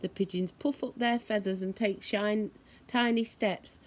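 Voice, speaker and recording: Female storyteller for young children, mid-pitched, unhurried and deliberate, expressive with imitated noises. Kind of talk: read-aloud children's story with imitated sound effects.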